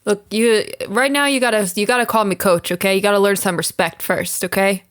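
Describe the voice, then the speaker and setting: A woman's voice: mid-range voice, steady tone, a little fast, fairly bright tone, natural voice. A woman playing a bossy male coach who talks curtly and demands respect in comic banter. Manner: bossy, curt, repeats himself. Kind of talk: comedic character banter.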